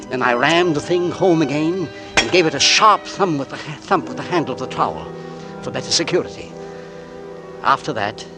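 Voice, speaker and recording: A man's voice: mid-range voice, close to an everyday voice. An actor playing a precise, self-assured middle-aged gardener who narrates his own story in a 1940s radio drama, in an understated manner. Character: fussy, cold-blooded middle-aged male gardener. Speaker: narrator and lead. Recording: old radio recording with music bed.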